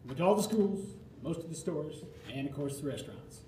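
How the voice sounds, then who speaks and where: A man's voice: a little high, dark tone. Older american guy doing a theatrical memoir monologue, roomy live recording, bit of noise behind. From Florida, United States. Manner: nostalgic, theatrical storytelling with dramatic pauses. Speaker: storyteller. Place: live radio theatre performance.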